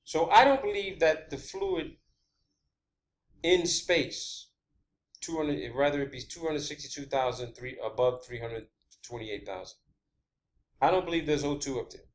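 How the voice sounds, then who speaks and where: A man with a somewhat high voice talks through his ideas as a video host. His delivery is informal and halting, circling back to repeat words for emphasis, with a blunt edge. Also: lively intonation. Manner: blunt, swearing. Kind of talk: informal theorizing monologue.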